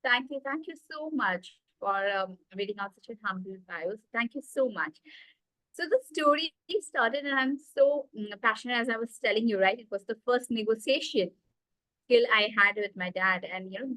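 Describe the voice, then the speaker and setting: A woman with an Indian accent tells her story eagerly on a video call, her voice sitting a bit high. She talks with many fillers and asides. The sound is dry and close. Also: fairly bright tone, no echo, poor audio. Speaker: guest, executive coach. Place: small room indoors.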